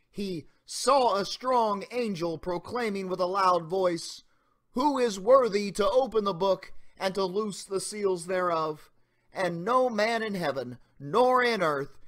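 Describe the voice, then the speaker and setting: A man giving a dramatic reading of an old sermon as a pastor, in solemn, deliberate, emphatic formal oratory. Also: on the high side, fairly bright tone.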